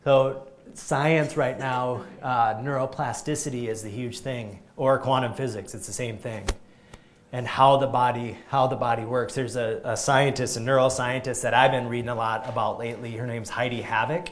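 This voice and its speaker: A man, a chiropractor, giving an energetic live health talk to a group, emphatic and often restarting his sentences. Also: mid-range voice, moderate pace.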